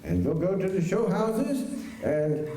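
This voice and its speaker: A man playing an earnest, high-voiced, pleading farmer. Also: huge pitch swings, dark tone, full character voice. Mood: eager. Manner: halting, pleading, old-fashioned immigrant phrasing. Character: anxious, earnest farmer courting his former housekeeper. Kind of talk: radio drama acting before a live audience. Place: large school auditorium stage. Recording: echoey auditorium, distant mic, faint noise.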